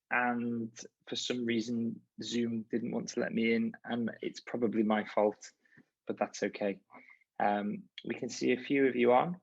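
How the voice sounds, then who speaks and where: A man, a university chaplain giving a friendly, chatty, encouraging welcome talk over a video call. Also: mid-range voice. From Liverpool, England. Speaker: university chaplain and events coordinator. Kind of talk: informal online welcome talk. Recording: dull lo-fi video call audio.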